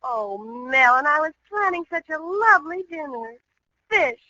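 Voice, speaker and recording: An actress playing a sweet, devoted young fiancée with an American accent in 1940s radio comedy. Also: female voice, high voice, animated, normal speed, bright, forceful tone, no echo. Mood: coaxing. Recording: dull old radio recording.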